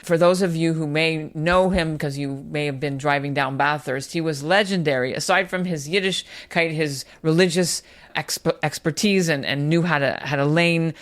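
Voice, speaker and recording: A man's voice: fairly high pitch, normal speed, a bit bright. A man co-hosting a casual news podcast, leading the conversation through the segments. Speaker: podcast co-host.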